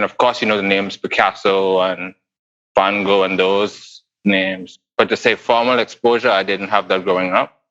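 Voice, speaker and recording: A man in his forties from the Bahamas answers interview questions in a thoughtful, unhurried way. His voice is low but bright and forceful. The sound is a bit dull and lo-fi. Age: 40s. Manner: thoughtful, unhurried, with pauses and restarts. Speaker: guest artist.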